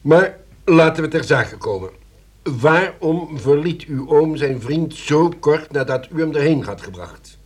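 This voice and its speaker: Husky, shrewd police commissioner. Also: male voice, fairly high pitch, expressive, unhurried. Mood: dryly questioning. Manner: formal, probing, dryly ironic. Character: shrewd, sardonic male police commissioner interrogating suspects. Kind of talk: Dutch radio play acting.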